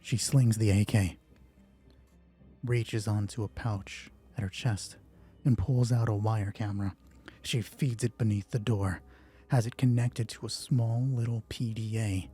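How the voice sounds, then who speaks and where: A man narrating a solo tabletop roleplay as its host, moving between hushed dramatic storytelling and chatty explanations of the dice. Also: lowish voice, husky.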